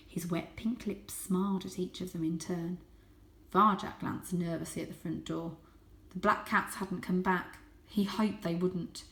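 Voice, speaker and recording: A woman reading a children's story aloud as host, with expressive, dramatic and suspenseful narration. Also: on the low side. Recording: echoey room.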